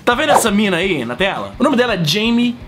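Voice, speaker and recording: A man's voice: sits high, fast talker, music in the background. A man in his thirties from Brazil with a cold, ranting animatedly to the camera in a casual, profane vlog from a room at home. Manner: animated, profane, slangy, big hand gestures. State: sick with a cold, coughing. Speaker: vlogger host. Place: home room with shelves of collectibles. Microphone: fixed camera, close framing.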